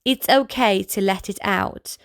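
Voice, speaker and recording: A woman reading a children's picture book aloud as a storyteller, in a gentle, deliberate manner addressed to young listeners. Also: mid-range voice, clean tone, no room sound. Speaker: children's storybook reader, channel host. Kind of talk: read-aloud bedtime story narration for children.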